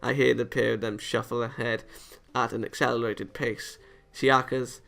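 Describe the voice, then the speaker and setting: A man's voice: mid-range voice, fairly even intonation, normal speed, vocal fry, a bit bright. Man reading a visual novel aloud. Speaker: video game let's player.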